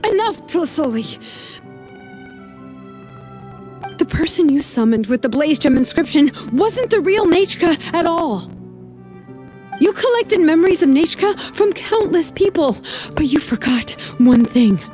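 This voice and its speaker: A firm, earnest niece with a high but mellow voice. She reasons sternly and solemnly, in measured video game drama delivery, over faint music. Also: lively intonation. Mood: stern reproach. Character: firm, earnest niece confronting her grieving aunt.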